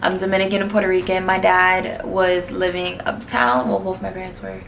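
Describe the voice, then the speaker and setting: A woman's voice: mid-range voice, level tone, moderate pace, creaky, fairly bright tone, slight background noise. A twenty-one-year-old woman from New City, answering viewer questions in a blunt, slangy, laid-back vlog. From New York City. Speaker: vlogger. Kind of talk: casual Q&A vlog.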